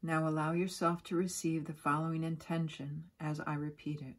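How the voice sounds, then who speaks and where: A woman guiding a yoga nidra relaxation in a soft, breathy, soothing voice, giving gentle instructions with long pauses. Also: sits low, flat delivery. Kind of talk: guided relaxation meditation.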